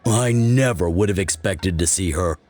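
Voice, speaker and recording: A man's voice: deep voice, moderate pace, darkish tone, soft background music, natural voice. A man playing an awed townsperson looking on in a video game. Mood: amazed. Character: awed male townsperson onlooker.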